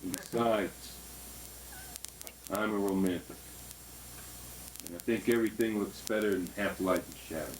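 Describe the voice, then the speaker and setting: An actor playing an earnest man in his forties, coaxing and animated as he talks. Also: sits low, normal speed, pauses often, slight background noise, lightly acted, rough recording. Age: 40s.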